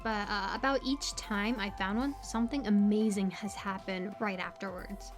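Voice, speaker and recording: A woman playing a bubbly, quirky young small-town local in an audio roleplay, rambling casually with playful, high energy and lots of filler words. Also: fairly even intonation, normal speed, faint music behind, lightly acted. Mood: chatty, sincere.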